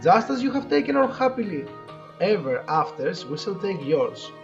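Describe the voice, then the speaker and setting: A man with a noticeably high voice hosts a relaxed let's play. He reads game text aloud and adds chatty, halting asides. The mic sounds dull and lo-fi, with some music playing behind him. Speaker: host of a gaming playthrough. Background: game background music.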